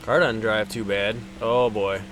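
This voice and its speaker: A man's voice: on the low side, steady tone, measured pace, fairly bright tone, a little hiss or hum. Foul-mouthed young man streaming a game. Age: twenties. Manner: foul-mouthed, jokey banter with viewers. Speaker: streamer playing a racing game. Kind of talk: casual live gaming commentary.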